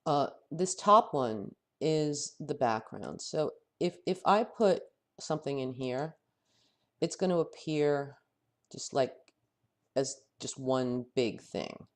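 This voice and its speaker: A man with a head cold and a fading voice, teaching a software tutorial while he thinks aloud, halting often and correcting himself. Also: mid-range voice, animated. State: head cold, losing his voice. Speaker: software instructor. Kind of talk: screen-recorded software tutorial.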